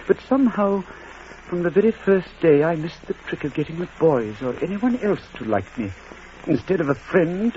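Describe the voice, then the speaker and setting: A shy middle-aged English schoolmaster in a vintage radio play, with a fairly high, very mobile voice. He stammers and fusses formally. The recording is dull and a little noisy. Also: darkish tone. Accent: British. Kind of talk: old radio drama acting.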